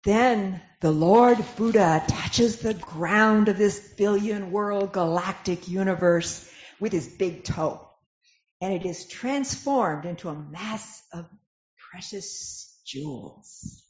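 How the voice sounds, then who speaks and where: A woman's voice: medium pitch, takes it slowly, darkish tone. A woman narrating a dramatic reading of scripture from a script. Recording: echoey room, dull lo-fi sound.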